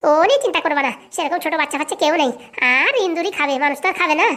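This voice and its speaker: A performer voicing a scheming, sharp-tongued mother in a comedy cartoon, pushy and scornful. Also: sits high, racing. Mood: brisk, haggling.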